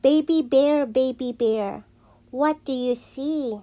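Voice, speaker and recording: A woman with a high voice reads a picture book aloud for young children. She goes extremely slowly and deliberately, giving the repeated phrases a sing-song shape. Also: warm tone. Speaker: teacher reading picture books aloud.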